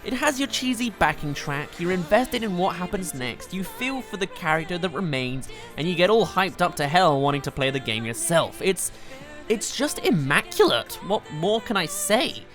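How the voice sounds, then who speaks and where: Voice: mid-range voice, very animated, normal speed, a bit bright. An enthusiastic, chatty reviewer, narrating a scripted video game countdown. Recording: music behind the voice.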